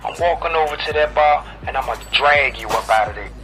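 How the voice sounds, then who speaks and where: An actor playing a menacing, possessive ex-lover on the phone in an audio drama, smooth-talking and slangy with a threatening edge. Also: male voice, fairly high pitch, a little fast. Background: music.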